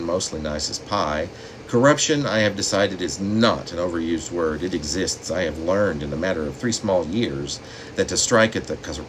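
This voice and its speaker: A man reads his own poetry in a deliberate, dramatic way with pauses between phrases. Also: low voice, low-quality recording. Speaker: poet reading own work. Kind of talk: poetry reading with spoken asides. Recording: steady room noise, air conditioning hum.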